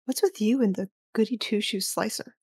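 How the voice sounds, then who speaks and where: A woman playing a cool, sardonic young operative and teasing sister in a sci-fi audio drama, with a deadpan delivery. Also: mid-range voice, moderate pace, a little hiss or hum, close to an everyday voice.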